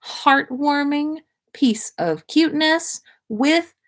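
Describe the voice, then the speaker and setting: A woman's voice: high-pitched, slow pace. A woman host reading a story aloud in a storytelling style, with casual asides. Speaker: podcast host reading listener stories.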